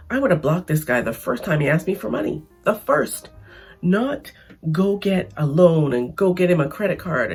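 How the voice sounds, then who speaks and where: A Canadian woman talks to her viewers in a chatty, emphatic vlog, her voice gravelly and raspy from losing it. She restarts her sentences often as she makes her point. Faint music plays behind her. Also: lowish voice, darkish tone. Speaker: vlogger. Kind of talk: casual opinion vlog.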